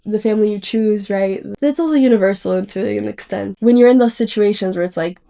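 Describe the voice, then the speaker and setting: A woman hosting a podcast in a casual, expressive chat, with slangy asides. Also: medium pitch, fairly quick, gravelly. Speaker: podcast host.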